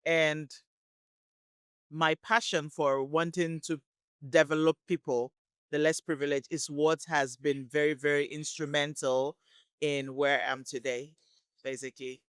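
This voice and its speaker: Woman chatting in an interview, smooth clear voice, takes her time finding words, dry close sound. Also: low voice, flat delivery, no echo. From Lagos, Nigeria. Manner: thoughtful, unhurried, pauses to search for words. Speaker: guest, community relations worker. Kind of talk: casual interview chat.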